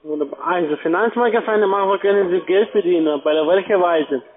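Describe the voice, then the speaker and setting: Male call-center seller, foreign accent, high pitched, narrow phone-quality sound. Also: brisk. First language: not German. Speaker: telephone sales agent. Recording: tinny phone line.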